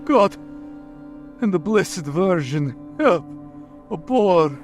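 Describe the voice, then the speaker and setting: A man playing a weakening, devout man, pleading slowly and dramatically in an old-time radio drama. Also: high-pitched, lively intonation, faint music behind, full character voice. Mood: desperate, praying. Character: weakening, devout man desperately doing his duty.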